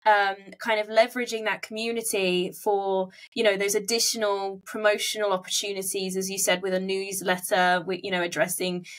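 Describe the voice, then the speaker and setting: A woman's voice: mid-range voice, little pitch movement, moderate pace, few pauses, fairly bright tone. Woman podcast host with a mid-range voice. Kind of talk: conversational interviewing. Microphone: video call.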